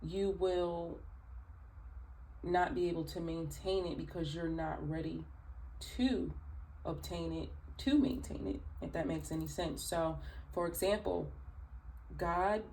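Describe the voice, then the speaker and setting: A woman with a raspy early-morning voice, chatting through a tarot reading with many fillers and asides. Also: lowish voice, fairly even intonation, a little slow, long pauses, warm tone, a little hiss or hum, poor audio. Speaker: tarot reader. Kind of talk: casual spoken tarot reading.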